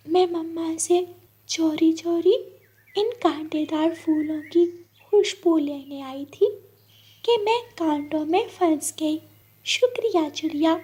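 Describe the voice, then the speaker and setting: Woman voicing a very high-pitched pleading little butterfly. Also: mellow. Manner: pleading, plaintive cries for help. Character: small delicate female butterfly, pleading and sweet.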